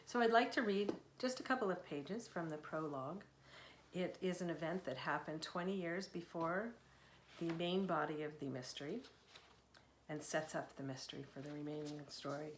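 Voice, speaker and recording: A woman's voice: low voice, moderate pace, long pauses, a little hiss or hum. Echoey woman reading a story aloud. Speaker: author reading from own book. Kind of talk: narrative book reading. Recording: echoey room sound.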